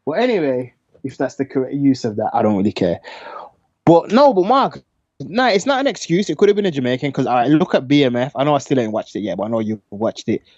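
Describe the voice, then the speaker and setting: A man's voice: medium pitch, fast, no room sound. Dry, slightly muffled London man, slangy. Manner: slangy, animated arguing. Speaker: podcast co-host. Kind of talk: casual podcast debate.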